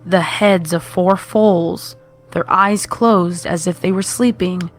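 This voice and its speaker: A woman's voice: fairly low pitch, fairly even intonation, takes it slowly, faint music behind. A woman reading scripted horror fiction as the narrator.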